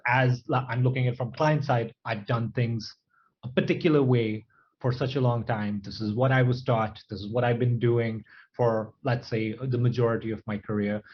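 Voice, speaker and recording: A man hosts a webinar panel in a casual, conversational way, framing his questions from a client's side. His voice is mid-pitched. It comes through a slightly dull video-call line. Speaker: webinar moderator. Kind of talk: moderating a panel.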